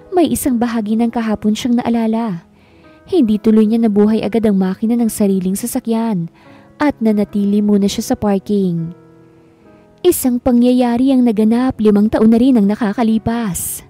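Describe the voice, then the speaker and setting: A woman narrating a romantic drama story in a steady, even reading voice. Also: medium pitch.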